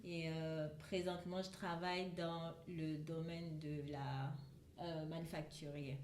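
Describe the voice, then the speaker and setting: A woman's voice: sits low, flat delivery, measured pace, a little mellow. A young woman from Côte d'Ivoire introducing herself in an easygoing podcast chat. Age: young adult. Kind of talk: casual chat. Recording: echoey room.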